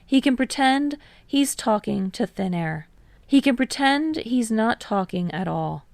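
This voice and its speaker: A woman's voice: on the high side, expressive, measured pace, vocal fry, darkish tone, no room sound. A woman reading scripted fiction as an audiobook narrator.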